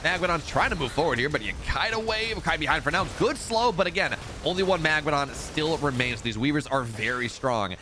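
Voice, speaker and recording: A man casting a live strategy game match, excitable in the fights and relaxed and rambling when he chats with viewers. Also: a little high, brisk, hardly any pauses, noisy background. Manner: excitable play-by-play, rising in big fights, relaxed and rambling between games. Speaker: esports caster. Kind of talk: live game commentary and chat reading.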